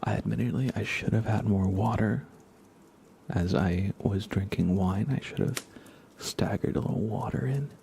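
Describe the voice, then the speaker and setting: A young man softly murmuring on an ASMR gaming livestream in an unhurried, casual way, often close to a whisper, near the mic. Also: fairly low pitch, a little hiss or hum. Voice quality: soft, hushed, often slipping into breathy whisper. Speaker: livestream host.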